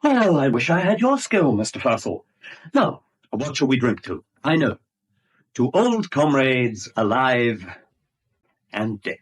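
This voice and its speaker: Over-polite English caller, insinuating, florid, husky tone, swooping intonation, 1970s radio drama. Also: male voice, mid-range voice, big pitch swings, unhurried. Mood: jovial, insinuating. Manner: ingratiating, florid. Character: smooth-talking, insinuating, over-polite male uninvited visitor.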